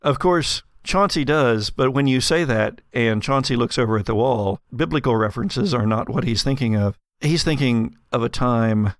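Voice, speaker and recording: A man narrating a tabletop role-playing game as game master, telling the story dramatically with deliberate pauses. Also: mid-range voice, no room sound, studio quality. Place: dry home studio.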